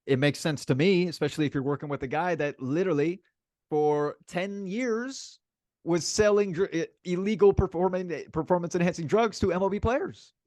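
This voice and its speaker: Male sports podcast co-host, raised pitch, dry recording, emphatic and repetitive delivery, conversational. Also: normal speed, a little mellow, no room sound. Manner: emphatic, repeats phrases for effect. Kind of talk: casual sports chat.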